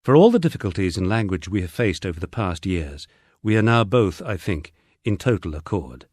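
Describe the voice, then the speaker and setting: A man's voice: low voice, sing-song, brisk, darkish tone, dry recording, clean studio sound. A man reading a memoir as a scripted audiobook narration.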